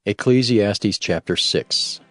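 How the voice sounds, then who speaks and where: A man's voice: fairly low pitch, slow, a bit bright, no echo. A man making scripted chapter announcements for an audio Bible reading.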